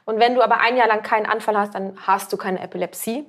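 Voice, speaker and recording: A woman around thirty chats as a podcast guest. Her voice sits at an everyday middle pitch. She rambles through her story with fillers and restarts. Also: steady tone, fast talker. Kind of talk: casual conversational storytelling.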